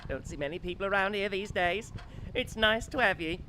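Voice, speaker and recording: An actress playing a folksy, gossipy innkeeper in an audio drama, with quaint theatrical phrasing. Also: female voice, mid-range voice, fairly quick, pushed and bright, a little hiss or hum, lightly acted, rough recording. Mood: welcoming. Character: cheery, sly innkeeper barmaid, gossipy and foreboding.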